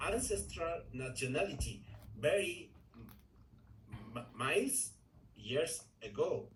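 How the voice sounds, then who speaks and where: Male English learner, Ecuadorian Spanish accent, hesitant and slow, distant mic with a faint hiss. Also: fairly high pitch. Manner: hesitant, many fillers and restarts. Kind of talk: halting conversation in English class. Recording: echoey room, distant mic, faint noise.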